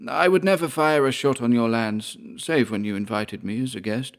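A man voicing an injured, proud landowner softening toward his old enemy, speaking in a strained, halting way with a hoarse edge. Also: mid-range voice, voice pushed up, natural voice. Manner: slow, jerky, strained delivery.

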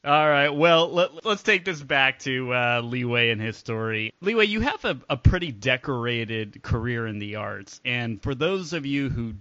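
A man hosts a casual podcast in a jokey, easygoing way. His voice is bright and swings up and down a lot. The sound is dry and a little dull, like lo-fi podcast audio. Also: medium pitch, expressive, moderate pace, hardly any pauses, no room sound. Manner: jokey, laughs easily. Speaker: podcast host. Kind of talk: casual interview chat.

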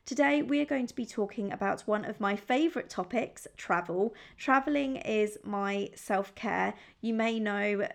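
A woman's voice: mid-range voice, normal speed, vocal fry, hardly any pauses. A young woman from England hosting her own podcast, talking in a chatty, upbeat, confiding way. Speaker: podcast host. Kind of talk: solo podcast chat.

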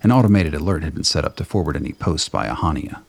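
A man reading scripted dramatic narration for a radio play in a measured, literary storytelling manner, with a gravelly, husky voice. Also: very deep voice, sing-song.

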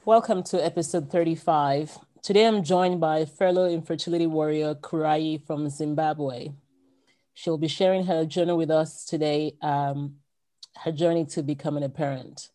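A woman from Nigeria hosts the podcast in a casual interview style. Her voice sits very low for a woman. She prompts her guest easily and laughs often. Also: steady tone, normal speed, dark tone. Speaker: podcast host.

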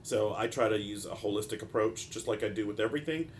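A man with a fairly low, congested voice talks through an informal solo podcast as its host. He speaks in a halting, discursive way with frequent asides. A clear rumbling noise sits behind his voice. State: congested, stopped-up nose. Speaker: podcast host, mental health practitioner.

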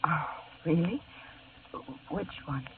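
A woman's voice: low voice, lively intonation, slow, a little hiss or hum. An actress playing a shy, hesitant young woman in an old radio drama. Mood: hushed. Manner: hesitant, polite, soft-spoken. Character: shy, apologetic young red-haired woman. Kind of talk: 1950s radio drama acting. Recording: tinny old radio broadcast with a crowd behind.

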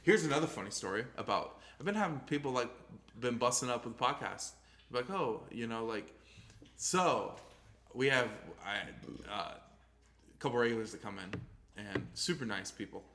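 A man's voice: mid-range voice, expressive, moderate pace, a bit bright, slight background noise. Casual rambling young podcast co-host, a man. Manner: rambling, swears often, laughs easily. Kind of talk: casual chat.

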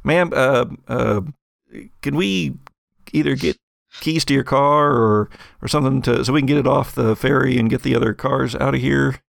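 Man as a polite, hesitant ferry worker, gravelly. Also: mid-range voice, normal speed, no echo, clean studio sound, pitched above the performer's own voice. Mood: polite, insistent.

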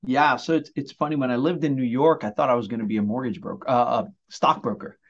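A man in his forties, born in Puerto Rico and raised in Brooklyn, chatting as a mortgage loan officer and telling animated stories with many fillers. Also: medium pitch, quick pace, highs cut off. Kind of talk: casual storytelling interview.

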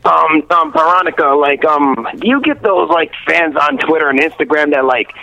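A man's voice: on the high side, normal speed, gravelly, pushed and bright, lo-fi sound. A man calling in to a radio show, rambling through his question with lots of "like.". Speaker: caller. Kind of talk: phoning in a question.

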